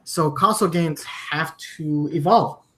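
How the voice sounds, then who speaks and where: A man from Germany hosting a podcast, discussing news conversationally with halting, repeated word starts. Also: fairly high pitch, a bit bright. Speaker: podcast host.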